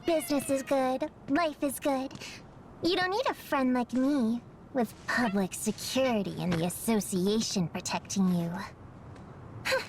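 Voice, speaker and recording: A woman's voice: sits high, measured pace, background noise. A woman voicing an aloof, self-assured young cat-girl mercenary in a game trailer, with a languid, haughty drawl. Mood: cool, smug. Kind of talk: scripted game trailer acting. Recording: game trailer under loud music.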